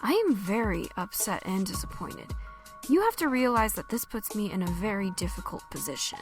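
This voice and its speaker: A woman playing a stern, weary commander of a covert organization in an audio drama, with a serious, measured authority. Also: mid-range voice, lively intonation, over music, lightly acted. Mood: stern, upset.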